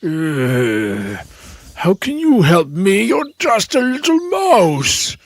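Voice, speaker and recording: An actor voicing a grumpy, haughty lion king in a children's animated story, with an imperious, groaning delivery. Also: mid-range voice, drawn-out words, dry recording, studio quality, exaggerated voice. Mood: pained, irritated.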